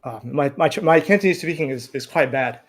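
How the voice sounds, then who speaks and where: An American man in his thirties, a scholar answering interview questions in a friendly, measured way. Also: on the high side. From United States. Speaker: guest scholar.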